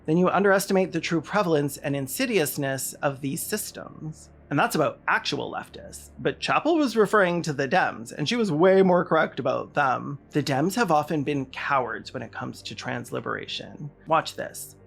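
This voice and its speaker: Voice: medium pitch, animated, moderate pace, creaky, hardly any pauses, husky. A video essayist delivering scripted political commentary in an emphatic, sardonic way.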